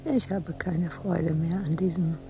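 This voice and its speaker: An actress playing an anguished, exhausted, obsessive woman sculptor in a radio drama monologue, speaking in halting fragments that often sink into breathy whispers. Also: on the low side, steady tone, lo-fi sound, over music. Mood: resigned, weary.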